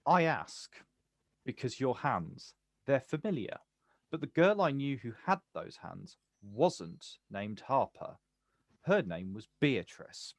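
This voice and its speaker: A man acting a watchful, quietly wounded poet in a naturalistic stage play, speaking deliberately in terse, repeated phrases. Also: mid-range voice, big pitch swings, no room sound, studio quality, full character voice. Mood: probing.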